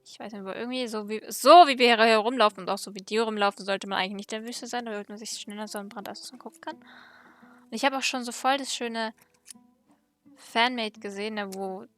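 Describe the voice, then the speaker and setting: A woman's voice: fairly high pitch, fairly even intonation, quick pace. A woman giving casual let's play commentary with game music behind her. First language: German. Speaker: let's player.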